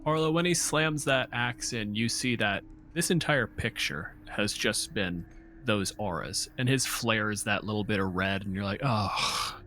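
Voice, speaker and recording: A man's voice: lowish voice, a little slow, pushed and bright, slight background noise. A man running a tabletop game as game master, narrating in a conversational, joking way.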